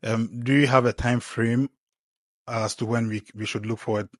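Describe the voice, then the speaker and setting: A man hosting a casual podcast, relaxed, trailing off quietly and filling with okays and ums. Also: mid-range voice, moderate pace, no room sound, studio quality. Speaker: podcast host.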